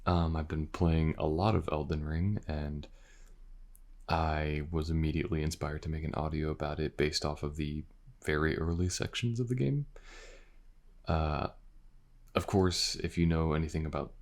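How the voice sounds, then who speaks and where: Very deep-voiced man chatting casually. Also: steady tone, moderate pace, a little mellow. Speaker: voice actor and creator of the audio. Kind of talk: casual outro chat to listeners.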